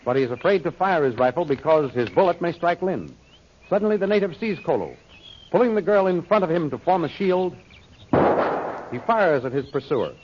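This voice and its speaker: High-voiced male vintage radio serial narrator. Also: big pitch swings, fairly quick. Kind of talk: dramatic old-time radio serial narration. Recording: dull, faintly noisy old radio recording.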